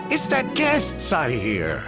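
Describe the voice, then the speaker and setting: An actor playing a gentle, frail, elderly village grandfather in a video game, kindly and wistful, with music behind him. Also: on the high side, wildly swooping pitch, slow pace, exaggerated voice. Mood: grateful, gentle. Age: older. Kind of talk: video game character dialogue. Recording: background music under the voice.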